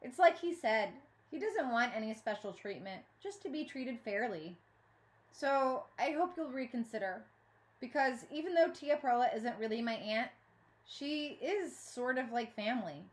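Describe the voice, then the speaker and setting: Woman reading as earnest young girl, higher-pitched. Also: animated, a little slow. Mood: earnest, emphatic. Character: earnest, nervous young girl speaking up in public.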